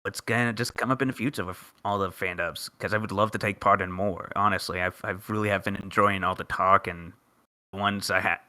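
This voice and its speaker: Male panelist, lower pitch, very crisp forceful tone, halting casual talk. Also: fairly even intonation, no echo. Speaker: podcast panelist. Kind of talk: casual group chat about a game fandub.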